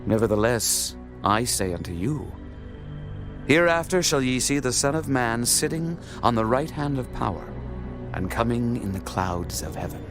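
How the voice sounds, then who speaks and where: Male actor as grave holy teacher, mid-pitched, solemn and measured, scripture drama with clear music underneath. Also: animated, close to an everyday voice. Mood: solemn authority. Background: background music.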